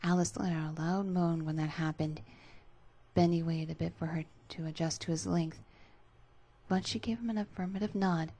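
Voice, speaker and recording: A woman gives a dramatic scripted narration of fan fiction. Her voice sits a little low and is distinctly husky and breathy. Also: steady tone, normal speed, dark tone.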